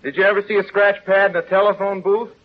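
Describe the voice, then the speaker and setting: A man's voice: high voice, flat delivery, quick pace, close to an everyday voice. An actor playing a plain-spoken, unassuming small-town man in a vintage radio courtroom drama. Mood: mild, earnest. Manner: homespun, unassuming, earnest. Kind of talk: 1930s-40s radio drama acting. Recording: muffled, noisy old radio recording.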